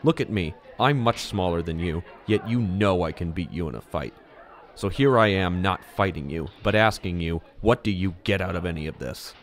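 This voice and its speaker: A man playing a calm, self-assured teenage hero in an audio drama, measured and dry. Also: sits low. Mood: calm, firm.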